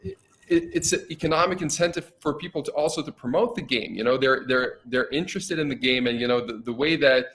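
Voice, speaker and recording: A man's voice: mid-range voice, a little fast, fairly bright tone. A man co-hosting a casual interview, talking in long loose sentences full of "you know". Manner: chatty, lots of "you know". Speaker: co-host.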